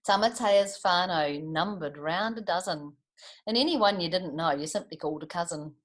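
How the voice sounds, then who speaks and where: A woman's voice: on the low side, moderate pace. A woman with a New Zealand accent reads a picture book to children expressively, adding chatty asides and questions for her listeners. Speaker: storytime reader for children.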